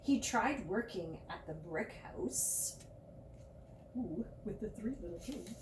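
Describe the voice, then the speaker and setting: A woman reading a children's picture book aloud at a library storytime, with drawn-out emphasis and chatty asides. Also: medium pitch, a little mellow. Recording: roomy, distant mic with faint noise.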